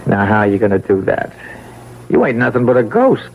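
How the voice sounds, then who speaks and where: An actor playing a jittery small-time American crook with rough, slangy speech, in old radio drama style. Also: male voice, mid-range voice, fairly quick, phone-quality sound. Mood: defiant. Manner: excitable, rough colloquial grammar, stammering when scared. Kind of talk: vintage radio drama acting.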